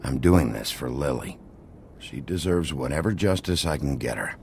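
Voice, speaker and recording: An actor playing a gruff, weary middle-aged sheriff in a video game, terse and dryly wry with a gravelly voice. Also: male voice, very deep voice, lively intonation, normal speed, a little mellow, a little hiss or hum, exaggerated voice. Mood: determined.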